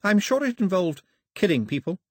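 Male narrator as young girl, prim, plucky, high for him, lively intonation, storybook. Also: normal speed, no echo, studio quality. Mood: defiant. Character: plucky, proper young English girl, sharp-tongued and questioning.

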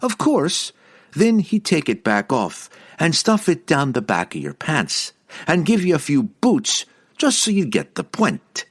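A man voicing a firm, sharp-tongued young woman in an audiobook, assertive and rustic in her speech. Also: fairly high pitch, wildly swooping pitch, measured pace, slightly rough, voice pushed up, full character voice. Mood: wry.

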